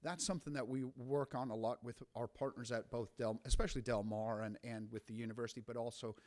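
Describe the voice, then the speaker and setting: A city official, a man, answering a council member in a chatty, lively way with frequent restarts. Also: medium pitch, fast talker. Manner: conversational, animated, frequent restarts. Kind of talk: formal meeting remarks. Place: council chamber.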